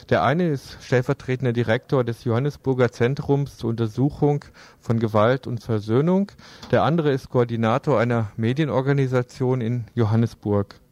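A man's voice: mid-range voice, a little mellow, dry recording. A man working as a radio host, reading scripted pieces and putting questions in an interview.

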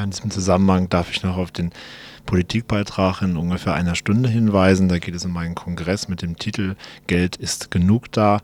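A man's voice: sits low, darkish tone. A man hosting a radio programme, casually announcing what is coming up on air. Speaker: radio host. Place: radio studio.

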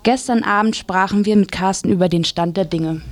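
A woman's voice: medium pitch, fairly quick. A woman presenting on radio, reading a scripted news introduction.

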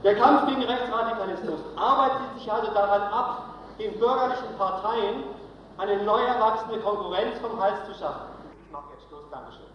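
A man's voice: high voice, moderate pace. A man delivering a polemical political lecture as a congress speaker, emphatic and repeating phrases for stress. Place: large hall, live speech. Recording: very echoey hall, distant, dull, faint noise.

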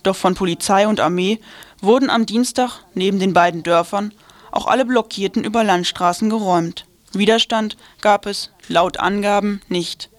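A woman's voice: mid-range voice, moderate pace, no echo. A woman reading a scripted radio news report.

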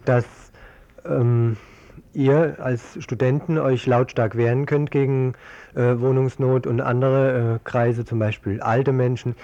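A man's voice: medium pitch, warm tone. A man hosting a local radio interview, asking questions in a hesitant, searching way. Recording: muffled, dull lo-fi radio recording.

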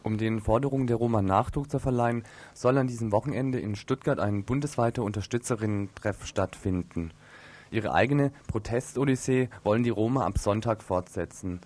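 A man's voice: fairly low pitch, brisk, darkish tone. A man working as a radio reporter, asking interview questions and reading news reports.

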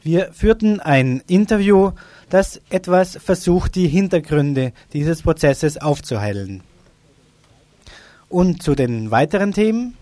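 A man's voice: a little high, animated, slow, warm tone, no room sound. A man presenting a radio news magazine in an informal style.

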